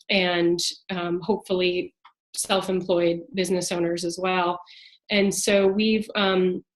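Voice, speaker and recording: A woman with a congested voice from a cold, giving a halting policy update at an online town hall. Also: medium pitch. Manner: halting, many fillers. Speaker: advocate speaking at a town hall.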